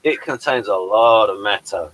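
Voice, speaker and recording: A man's voice: fairly low pitch, moderate pace, fairly bright tone, poor audio. A man hosting a casual interview, rambling and chatty and addressing viewers as folks. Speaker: host and playwright.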